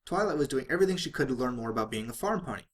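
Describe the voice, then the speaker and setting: A man's voice: mid-range voice, expressive, rapid-fire. A man reading fan fiction aloud as a dramatic narrator, sometimes stumbling and rereading phrases.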